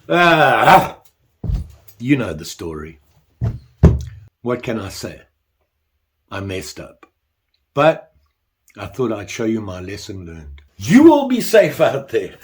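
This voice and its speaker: A man's voice: mid-range voice, slight background noise. An older man talking casually about his leatherwork, congested while getting over the flu, self-deprecating and rambling. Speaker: leatherwork vlogger. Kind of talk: casual workshop chat.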